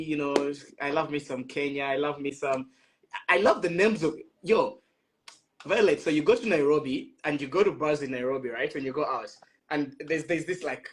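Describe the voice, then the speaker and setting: A young man from Ghana hosting a casual live-stream chat, laughing often and tripping over his words as he talks. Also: on the high side.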